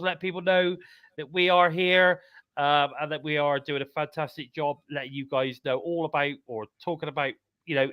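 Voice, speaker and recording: British male podcast host, stuffed-up nose, bright upbeat voice, dry close mic. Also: on the high side, no room sound. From United Kingdom. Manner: chatty, upbeat, repeats calls to subscribe. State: congested, bunged up. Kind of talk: live wrestling podcast chat.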